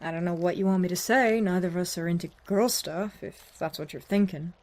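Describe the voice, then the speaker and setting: A woman's voice: fairly low pitch, a little fast, a little mellow, close to an everyday voice. Blunt, sardonic woman survivor, played by an actress. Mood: wry, guarded. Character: blunt, sweary, sardonic adult female survivor. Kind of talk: dramatic audio acting, naturalistic dialogue.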